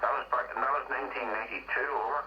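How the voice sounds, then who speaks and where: A man's voice: medium pitch, steady tone, rapid-fire. A middle-aged man with an Irish accent, an amateur radio operator chatting casually in radio jargon, heard over a two-way radio. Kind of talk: casual radio contact chat. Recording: dull radio link, faint noise.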